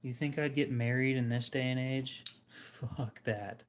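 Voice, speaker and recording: A man hosting a livestream in casual chat, talking in a dry, monotone, subdued way that sounds depressed to him. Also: medium pitch, a little hiss or hum. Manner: dry, self-deprecating, unhurried. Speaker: livestream host.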